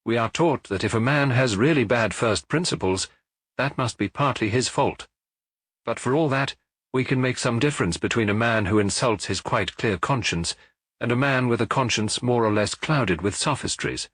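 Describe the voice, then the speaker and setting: Male narrator as a small clerical sleuth, gentle, hesitant, shrewd, lifted pitch, husky, detective story. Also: moderate pace, dry recording, close to an everyday voice. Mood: gently probing. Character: mild, shrewd little priest detective, gently doubtful.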